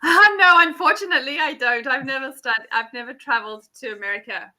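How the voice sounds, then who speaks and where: Bright-voiced South African woman tutor. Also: high voice, fairly quick. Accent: South African English. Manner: friendly, encouraging, lots of affirming "yeah". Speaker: English tutor. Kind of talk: casual conversation class. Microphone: video call.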